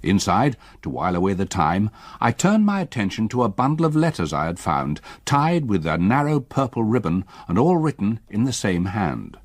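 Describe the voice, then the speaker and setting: An actor playing an uneasy, educated solicitor in a gothic radio drama, with measured, articulate, old-fashioned speech. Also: male voice, on the low side, big pitch swings, dry recording, clean studio sound. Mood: subdued, reflective. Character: uneasy, educated male solicitor recounting a haunting.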